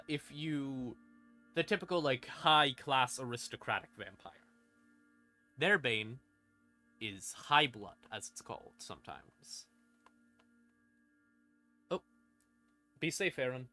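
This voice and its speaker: Bright-voiced man explaining lore on stream. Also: mid-range voice, animated, slow pace, long pauses. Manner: informal, sweary, emphatic. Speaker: live streamer, host. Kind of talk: casual lore explainer on a livestream. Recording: ambient music behind the voice. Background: creepy ambient music.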